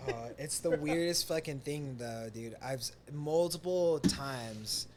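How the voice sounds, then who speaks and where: A man hosting a podcast, rambling and halting, full of filler words and laughter. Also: on the high side, background noise. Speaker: podcast host. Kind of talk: casual rambling podcast talk.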